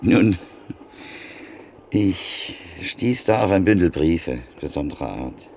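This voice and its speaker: A weary middle-aged art dealer in an old radio play. His voice is very deep and gravelly, and he speaks in a measured, reflective storytelling manner over a faint hiss. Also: animated, darkish tone, heavily acted. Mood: thoughtful. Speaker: antique print dealer. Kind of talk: classic radio drama acting.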